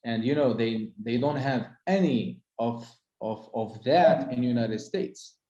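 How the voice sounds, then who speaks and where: A man's voice: mid-range voice, unhurried, mellow, echoey room, lo-fi sound. A middle-aged man, a tour guide whose first language is Arabic, talking casually with lots of "uh" and restarts. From Holy Land. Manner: hesitant, many fillers and restarts. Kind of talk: casual conversation.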